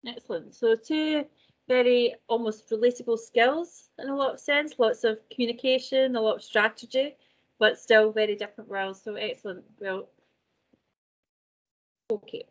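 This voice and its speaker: A woman's voice: fairly high pitch, normal speed. Woman host leading a zoom panel, friendly prompts, kinda dull lo-fi audio. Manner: encouraging, guides the questions. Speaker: event host. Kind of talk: hosting an online panel.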